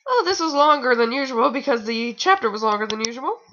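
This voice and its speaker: A woman's voice: fairly high pitch, animated, a little fast, sharp tone, lo-fi sound, slight background noise. A young adult woman giving a mocking amateur fan fiction reading with rambling, exasperated, theatrical asides, sounding a bit tired. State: tired, sore nose.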